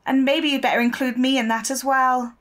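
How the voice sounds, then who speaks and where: An actress playing a persistent, shrewd newspaper reporter in an audio drama, speaking confidently and articulately. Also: female voice, sits high, flat delivery, brisk, a bit bright. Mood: determined, pointed.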